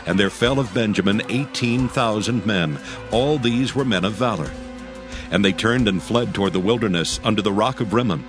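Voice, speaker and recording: A man reading scripture as the narrator of a dramatized audio Bible, solemn and measured. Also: on the low side. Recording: prominent background music. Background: music.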